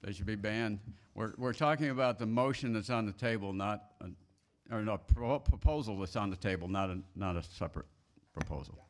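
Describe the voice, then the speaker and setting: A man's voice: on the low side, normal speed. A man moderating a meeting, informally explaining procedure to the group and often repeating and rephrasing himself.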